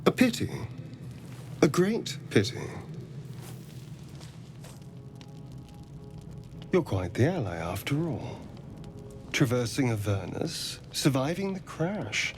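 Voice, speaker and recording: A man's voice: medium pitch, big pitch swings, unhurried, soft background music. A man playing a vain, flirtatious, sardonic rogue in a fantasy game, with arch, theatrical wit. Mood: admiring. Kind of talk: fantasy video game acting.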